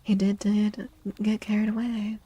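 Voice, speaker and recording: A woman speaking softly and gently in a breathy, half-whispered ASMR style, like a livestreamer, often repeating phrases. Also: medium pitch, level tone, unhurried, dry recording. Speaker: streamer and tarot reader. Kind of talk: soft-spoken ASMR livestream with casual chat.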